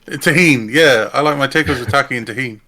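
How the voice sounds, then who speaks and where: A man's voice: medium pitch, a little fast, bright, forceful tone. A man chatting and bantering casually with fellow performers on a livestream. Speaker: podcast writer-performer on a livestream.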